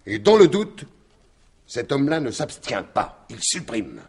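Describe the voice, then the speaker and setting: Husky, sardonic police commissioner. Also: male voice, mid-range voice, sing-song, a little mellow, heavily acted. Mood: sardonic, solemn. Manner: theatrical, ironic, deliberate. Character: shrewd, sardonic male police commissioner confronting a killer. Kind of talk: 1950s French radio drama acting.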